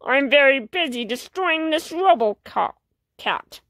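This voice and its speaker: Woman as storybook villain fish, grumpy, emotional, halting delivery, high voice, very dry sound. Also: animated, a bit bright, no room sound, full character voice. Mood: gloating. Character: robot-powered villain fish, grumpy, wounded, lonely.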